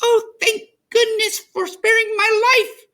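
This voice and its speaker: Man putting on a tiny, very high mouse girl. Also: level tone, takes it slowly, pushed and bright, exaggerated voice. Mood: relieved, grateful. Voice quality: small high voice. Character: tiny timid female mouse, polite and earnest. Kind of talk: children's storybook read-aloud.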